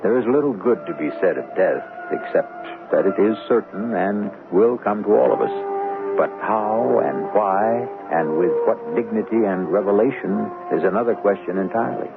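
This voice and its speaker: A man hosting a radio drama, delivering grave, deliberate scripted narration. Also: lowish voice, vocal fry. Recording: vintage radio recording, phone-like, music behind.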